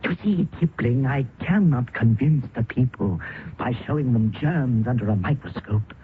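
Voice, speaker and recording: An actor playing a resolute, idealistic colonial doctor in old-time radio drama, earnest and formal. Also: male voice, medium pitch, lively intonation, moderate pace, natural voice. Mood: impassioned, resolute. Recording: tinny, noisy old radio recording.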